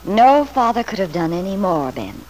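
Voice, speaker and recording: A woman's voice: mid-range voice, big pitch swings, normal speed, a little mellow, natural voice. Ingratiating, swooping schemer, played by a woman. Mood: soothing, flattering. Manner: solicitous, ingratiating, emphatic. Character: scheming, flattering woman, soothing and falsely devoted. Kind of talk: old-time radio soap opera acting. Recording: noisy vintage radio drama recording.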